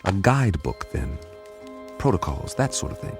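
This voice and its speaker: A thoughtful male code expert speaks in a low, gravelly voice with lively swoops. He sounds intent and determined, in radio drama style. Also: moderate pace, music in the background, heavily acted. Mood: intrigued, determined.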